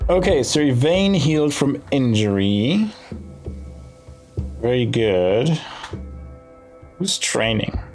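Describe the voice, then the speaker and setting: A man talks through a video game at an unhurried, slow pace. He thinks aloud as he weighs his choices, in casual playthrough commentary. Game music plays clearly behind his mid-pitched voice. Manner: thinking aloud while deciding. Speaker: gaming video host.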